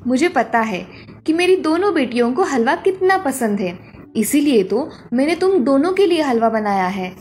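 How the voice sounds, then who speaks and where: A woman voicing a caring mother of two grown daughters in a cartoon story, speaking gently and turning firm when she warns them. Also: high-pitched, big pitch swings, normal speed, a little hiss or hum.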